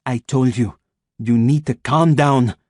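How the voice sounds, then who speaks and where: A man voicing a cold, commanding female agent with a soft, purring French accent, read in a dry, close recording. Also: mid-range voice, slow, dry recording.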